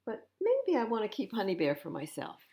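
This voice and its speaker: A woman's voice: mid-range voice, huge pitch swings, normal speed, creaky, warm tone, a little hiss or hum, pitched above the performer's own voice. Lady reading as an earnest little storybook boy, kinda hesitant, voice goes up and down a lot. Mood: attached, protective. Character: young boy Arthur, hesitant seller attached to his bear.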